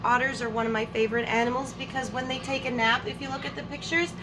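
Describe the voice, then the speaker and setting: A woman's voice: high voice, background noise. A woman hosting a storytime, reading a picture book aloud to young children expressively, with friendly asides to her listeners. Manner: expressive, drawn-out words, addressing listeners as friends.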